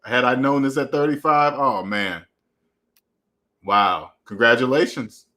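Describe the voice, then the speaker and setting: A laid-back man hosting a casual online chat, his voice at a middle pitch. He encourages his guests, throws in slang, and talks easily over a video call. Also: measured pace. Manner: relaxed, warm and encouraging, uses slang. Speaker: show host.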